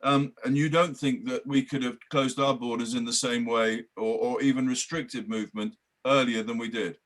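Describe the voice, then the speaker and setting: A man's voice: medium pitch, steady tone, moderate pace, fairly bright tone. An older man interviewing politicians over a video call, probing and pressing to finish his questions. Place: room at home.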